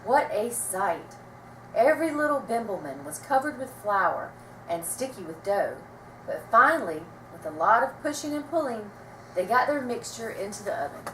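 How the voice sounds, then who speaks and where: Woman reading kids bedtime story, super expressive, echoey room, faint noise behind. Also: medium pitch, moderate pace. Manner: dramatic, expressive. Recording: roomy, distant mic, faint noise.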